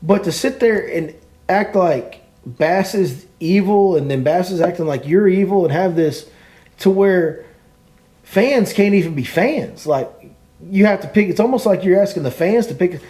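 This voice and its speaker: Emphatic, mellow-voiced podcast host. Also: male voice, sits high, measured pace. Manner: emphatic, repetitive, ranting. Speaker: podcast host and fishing guide. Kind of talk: opinionated podcast chat.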